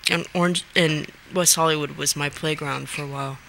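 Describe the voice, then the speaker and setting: A woman's voice: sits low, steady tone, fairly quick, vocal fry, fairly bright tone, slight background noise, poor audio. A woman in her late twenties, from Finland, answering a radio host's questions in a hesitant way, full of ums and restarts. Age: late 20s. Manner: hesitant, many fillers and restarts. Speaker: radio guest. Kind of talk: casual radio interview.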